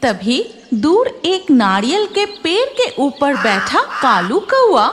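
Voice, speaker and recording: High, animated woman storyteller. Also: slow pace, noisy background. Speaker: narrator. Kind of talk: scripted storytelling narration for a cartoon.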